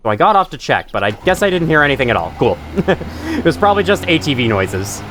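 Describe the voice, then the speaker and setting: A young man talks through a game in loose, rambling commentary, swearing now and then. His nose sounds stuffy, and his pitch swings widely up and down as he chats. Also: very animated, fast talker, fairly bright tone, slight background noise. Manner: rambling, chatty, swears casually. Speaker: gaming channel host. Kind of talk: casual let's-play commentary.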